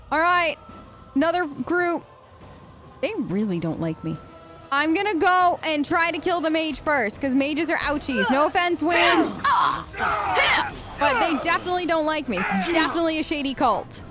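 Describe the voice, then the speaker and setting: A woman's voice: sits high, a bit bright. A woman giving casual, playful let's play commentary, expressively talking to herself and to the game's characters. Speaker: gaming video host. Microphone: close dry voice-over microphone.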